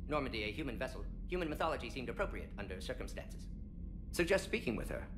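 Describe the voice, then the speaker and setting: Game casting: brisk alien scientist, played by a man, middling pitch, racing telegraphic delivery, self-assured. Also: big pitch swings, natural voice. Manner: clipped telegraphic phrasing, drops articles and pronouns. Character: brisk, confident male alien scientist and doctor. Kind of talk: video game voice acting. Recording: music behind the voice.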